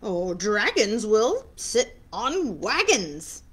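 A woman's voice: medium pitch, big pitch swings, drawn-out words, a bit bright, breathy edge, voice pushed up, heavily acted. A woman voicing a smug, matter-of-fact frog in a rhyming picture book read aloud.

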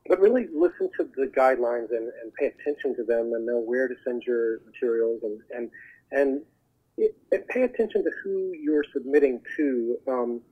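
A man speaking as a talent agent guest, giving advice in an earnest, halting, conversational way over the telephone. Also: medium pitch, expressive, slightly rough. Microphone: telephone call. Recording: muffled narrow phone line.

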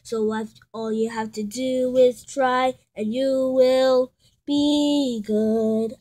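A woman's voice: sits high, a little mellow. A woman doing a boastful karate-master number block. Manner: slow, sing-song chanting. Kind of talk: homemade paper-cutout puppet play. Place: indoors at a tabletop.

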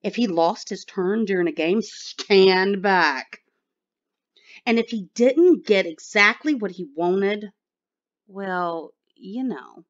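A woman's voice: medium pitch, slow pace, highs cut off. A woman reading a picture book aloud expressively for children. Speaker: children's story reader.

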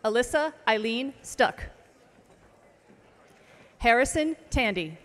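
A woman reading out graduate names at an outdoor commencement, slow and measured, over a PA system. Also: a little high, lively intonation, a bit bright. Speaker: name reader at commencement. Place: outdoor ceremony stage. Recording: long reverb of outdoor PA.

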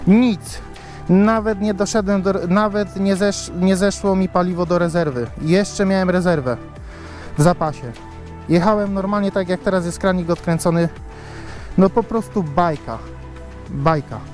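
A man's voice: sits high, measured pace, darkish tone, soft background music. A man vlogging about his motorbike in a rambling, casual way, swearing often and repeating himself. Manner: rambling, swears a lot, many fillers and repeats. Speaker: motovlogger. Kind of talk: casual chat to camera.

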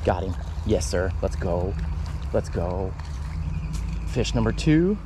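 A man vlogging while fishing outdoors by a canal, talking casually and breaking into excited exclamations. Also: deep voice, slow, background noise. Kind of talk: casual fishing vlog narration.

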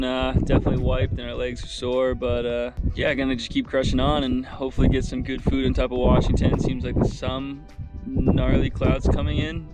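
A man's voice: medium pitch, rough recording. A young man in his twenties talking casually into an action camera held at arm's length on an open mountain summit, chatty and laughing easily. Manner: laid-back, chatty, laughs often. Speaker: vlogger. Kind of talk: casual hiking vlog. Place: outdoors on a windy rocky mountain summit.

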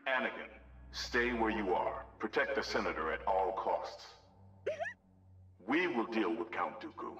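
A man's voice: on the low side, animated, unhurried, highs cut off. A man playing a stern, commanding senior warrior in a game cutscene, firm and authoritative. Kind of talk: video game cutscene acting. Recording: music and crowd noise behind.

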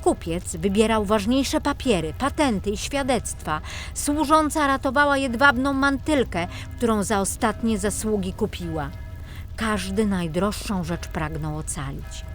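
A woman narrating a children's fairy tale in an expressive, dramatic storytelling manner. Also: medium pitch. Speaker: lead audiobook narrator.

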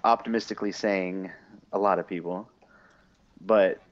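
A man's voice: sits low, fairly even intonation, moderate pace. A teenage man bantering casually and teasingly over a game, swearing a lot, as co-host of a gaming channel. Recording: dull, faintly noisy home mic.

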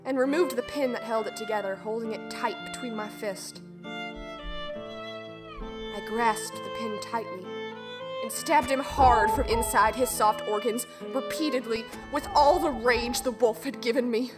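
Woman playing a young fairy tale girl survivor, kinda high voice, bitter n intense, dramatic audio play storytelling over music. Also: close to an everyday voice. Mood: grim, intense. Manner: intense, deliberate, emotional storytelling. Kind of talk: dramatic first-person audio drama monologue.

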